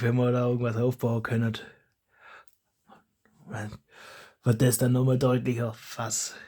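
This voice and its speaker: Husky-voiced man with German dialect. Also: mid-range voice, a little mellow. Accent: German regional dialect. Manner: rambling, self-correcting, chuckling. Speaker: hobby channel host. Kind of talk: casual vlog monologue.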